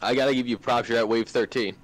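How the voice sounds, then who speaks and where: A man's voice: medium pitch, fairly even intonation, fast. A young man chatting casually on a gaming stream, easygoing and encouraging, offering friendly advice. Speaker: stream guest. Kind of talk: casual voice chat.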